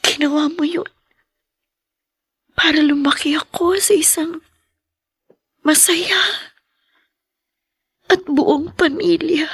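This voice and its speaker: An actress voices a grieving young woman in a drama, whispering through tears. Her voice sits high with a gravelly edge. She speaks slowly, as if each word costs her. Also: steady tone, no room sound, clean studio sound, heavily acted. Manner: soft tearful whispering. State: crying. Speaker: lead character. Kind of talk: dubbed TV drama acting. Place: hospital room.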